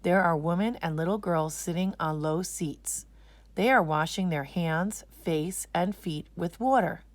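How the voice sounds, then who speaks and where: A woman's voice: on the low side, unhurried, no room sound. A woman narrating a children's storybook as a read-aloud.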